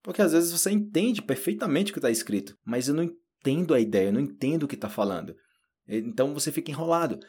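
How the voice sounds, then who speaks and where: A man from Brazil with a Brazilian Portuguese accent, giving a casual spoken lesson and slowly repeating phrases to demonstrate. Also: a little high, animated, warm tone, husky. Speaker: Portuguese teacher.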